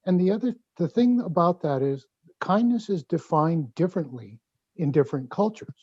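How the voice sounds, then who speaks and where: A man from Hawaii making a thoughtful, measured comment as an audience member on an online call with muffled audio. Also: a little high, expressive, dark tone, slightly rough, no room sound. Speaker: audience member asking a question. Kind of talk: conversational question and comment.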